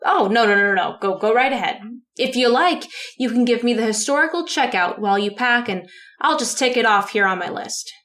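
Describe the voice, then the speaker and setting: A woman's voice: fairly high pitch, expressive, a little fast, a bit bright. An actress playing a chatty, officious and obliging woman clerk in a radio drama, fussily repeating herself. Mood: fussy, obliging.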